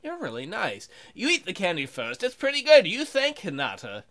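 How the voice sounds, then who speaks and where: A woman's voice: on the low side, wildly swooping pitch, moderate pace, fairly bright tone. A woman hosting a let's play, reading aloud and commenting casually in a playful, sarcastic way.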